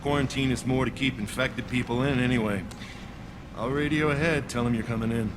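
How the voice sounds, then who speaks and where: An actor playing a gruff, jaded mercenary guard in a video game, brusque and dismissive. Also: male voice, mid-range voice, steady tone, a little fast, background noise, close to an everyday voice.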